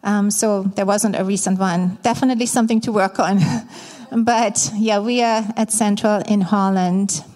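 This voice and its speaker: A woman's voice: medium pitch, steady tone. German-accented woman preaching in a reverberant hall. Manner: warm, candid, slightly halting with fillers. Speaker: guest speaker, ministry staff. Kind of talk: sermon to a church congregation. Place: church sanctuary. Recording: long reverb of a large church hall.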